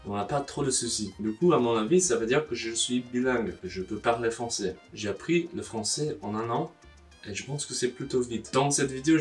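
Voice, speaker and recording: A young man with a foreign accent talks straight to camera, blunt and wry, with casual swearing. He sits a little back from the mic. Soft guitar music plays underneath. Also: mid-range voice, far from the mic. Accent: foreign, non-native French. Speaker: language-learning vlogger. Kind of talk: casual advice monologue to camera.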